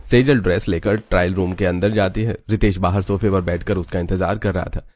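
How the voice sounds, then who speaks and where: A man's voice: deep voice, fairly even intonation, fairly quick, a little mellow, dry recording. A man narrating a scripted story as the narrator of a cartoon. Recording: music under the voice.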